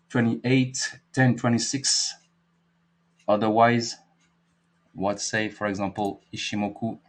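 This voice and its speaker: A fairly low-pitched man with a French accent talks through trading charts as an instructor. His delivery is informal and halting. He often repeats himself and checks in with short questions. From France. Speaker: trading instructor and market analyst. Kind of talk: informal spoken chart analysis lesson.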